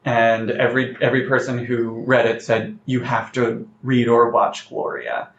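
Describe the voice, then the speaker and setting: A man being interviewed as a playwright, talking in a reflective, carefully measured conversational way. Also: mid-range voice. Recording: roomy, slightly muffled, noticeable background noise.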